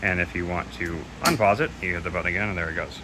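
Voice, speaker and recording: A man with a very deep-pitched voice talks through a piece of equipment off the cuff. His delivery is loose and a little unsure, like an informal walkthrough. The homemade recording has clearly audible noise behind him. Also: fast talker. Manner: hesitant, loose, admits gaps in knowledge. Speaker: presenter demonstrating audio equipment. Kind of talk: casual unscripted product demo. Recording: clearly noisy home recording.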